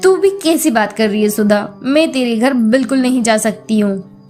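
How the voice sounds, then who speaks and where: A haughty, well-off madam, played by a woman storyteller, fussy and full of disdainful questions. The voice is high, with music behind it. It belongs to a simple moral tale. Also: moderate pace, a little mellow, lightly acted. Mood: annoyed.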